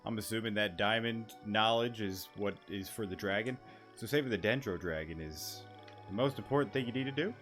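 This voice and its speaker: A man's voice: on the low side, brisk, music in the background. A young man casually commenting over a video game with sarcastic, crude asides. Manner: sarcastic, crude, offhand remarks. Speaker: gaming streamer.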